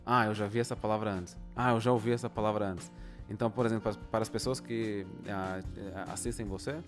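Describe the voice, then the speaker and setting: A Brazilian man as an interview guest, speaking slowly and thoughtfully with many pauses. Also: fairly low pitch, steady tone, over music. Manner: thoughtful, deliberately slow, with pauses. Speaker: guest, polyglot. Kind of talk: casual interview.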